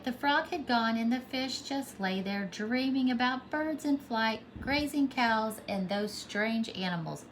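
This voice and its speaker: A woman's voice: a little high, a little hiss or hum, rough recording. A woman pre-K teacher reading a storybook aloud to young children, expressively and with simple explanations.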